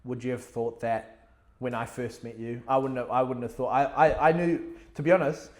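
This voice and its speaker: A man's voice: mid-range voice, fairly even intonation, moderate pace. A man hosting a podcast, casually prompting his close friend with questions and short acknowledgements. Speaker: podcast host. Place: indoor podcast setup.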